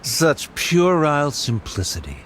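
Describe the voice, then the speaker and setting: A man's voice: fairly high pitch, huge pitch swings, measured pace, a bit bright, a little hiss or hum, exaggerated voice. A man playing a proud, aloof scholar father in a video game, pompous and formal. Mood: haughty, relieved.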